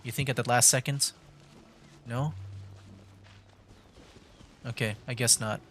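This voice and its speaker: A man's voice: mid-range voice, steady tone, slow, fairly bright tone, slight background noise. Casual male game streamer. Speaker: gaming livestreamer. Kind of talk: casual game commentary, reading dialogue choices aloud.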